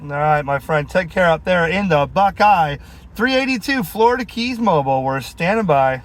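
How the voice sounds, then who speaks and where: A man's voice: a little high, expressive, moderate pace. A man in a vehicle talking casually as a CB radio operator, friendly, using radio lingo and call signs. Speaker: CB radio operator, video host. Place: inside a vehicle, mobile radio. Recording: clear background noise, vehicle and radio clicks. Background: radio noise and vehicle sound.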